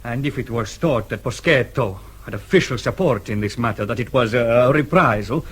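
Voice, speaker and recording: Husky, courtly Italian diplomat. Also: male voice, medium pitch, a little fast, a bit bright. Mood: earnest, puzzled. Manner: formal, emphatic. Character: courtly, indignant Italian doctor and consul general. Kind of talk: British radio drama acting.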